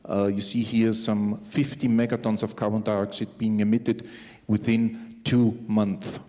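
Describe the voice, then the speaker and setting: Low, mellow man in a reverberant hall. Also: level tone, unhurried. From Austria. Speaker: climate initiative spokesman. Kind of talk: press conference presentation. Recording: echoey hall with long reverb.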